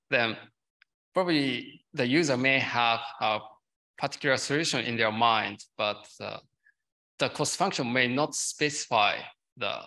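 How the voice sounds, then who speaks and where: A man's voice: mid-range voice, sharp tone. A man in his thirties answering technical questions as a conference speaker, hesitant, with non-native English phrasing. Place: large lecture theatre, at a podium. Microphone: podium gooseneck microphone.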